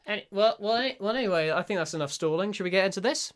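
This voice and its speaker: A man's voice: sits high, lively intonation, racing. A man hosting a casual reaction commentary, rambling and hesitant, often breaking off and restarting his sentences. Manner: rambling, hesitant, self-interrupting. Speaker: commentary host and amateur voice actor.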